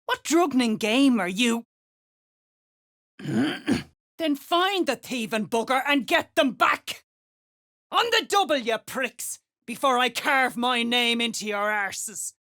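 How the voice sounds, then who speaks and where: A woman playing a gruff, foul-mouthed female duergar overseer in a video game, curt and contemptuous. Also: fairly high pitch, animated, takes it slowly, fairly bright tone. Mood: furious, barking. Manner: curt, cursing, contemptuous slang. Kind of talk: video game character dialogue.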